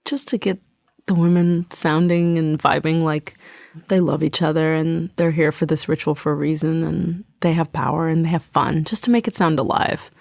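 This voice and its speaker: Woman, low gravelly fry, explains things clearly in an interview, very dry recording. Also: steady tone, normal speed, darkish tone, no room sound. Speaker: guest theater director. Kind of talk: thoughtful interview talk.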